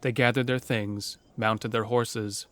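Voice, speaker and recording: A man's voice: mid-range voice, flat delivery, slow pace, a bit bright, slight background noise. A man reading scripted narration for a horror audio drama, as a storyteller. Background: music under the narration.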